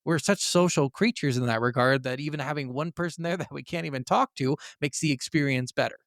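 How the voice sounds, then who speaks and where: A man hosting a casual gaming podcast, telling stories in an animated, conversational way. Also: a little high, brisk, no room sound, clean studio sound. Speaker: podcast host.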